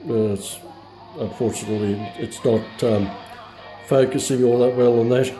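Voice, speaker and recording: A man presenting a product review in a casual, unscripted way, explaining features in a hesitant, halting manner. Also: medium pitch, steady tone, over music, low-quality recording.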